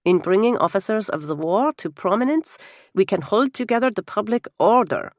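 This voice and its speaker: A man's voice: high voice, animated, normal speed, no room sound, clean studio sound, pitched above the performer's own voice. A woman voicing a fiery, proud Germanic baron and officer with a German accent in an audiobook, speaking emphatically. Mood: firm, proud. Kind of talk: audiobook character dialogue.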